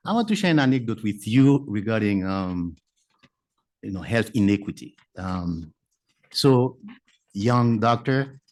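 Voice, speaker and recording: A man from Haiti, a physician speaking on a conference panel in a measured, thoughtful way with pauses and fillers. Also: fairly low pitch, darkish tone. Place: conference breakout room.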